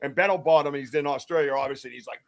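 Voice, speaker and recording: A man's voice: medium pitch, rapid-fire. A man from south of Boston with a Boston accent, hosting a casual live stream and joking with his guests. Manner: animated, jokey, laughs often. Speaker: host.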